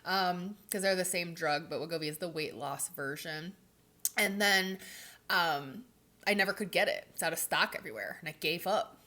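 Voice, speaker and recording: A woman's voice: on the low side, normal speed, sharp tone. Stuffy-nosed woman rambling a vlog update. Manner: rambling, lots of fillers like "um" and "like". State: stuffy from a cold. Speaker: vlogger. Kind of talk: casual chatty update.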